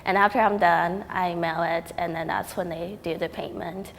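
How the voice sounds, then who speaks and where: Young woman from Taiwan, low gravelly voice, laid-back interviewee, echoing hall. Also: moderate pace. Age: young adult. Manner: relaxed, frequent ums and likes. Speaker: student artist interviewee. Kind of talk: casual interview talk. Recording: reverberant hall with faint noise.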